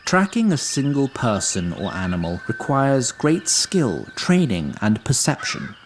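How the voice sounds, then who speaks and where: A man reading scripted fantasy narration in a measured, literary, dryly wry manner. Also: mid-range voice. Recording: faint ambient noise.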